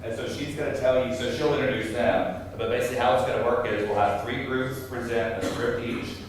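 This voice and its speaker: A man's voice: medium pitch, a little fast. A man informally introducing an event to a live audience as its host. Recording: distant, very echoey room, faint noise.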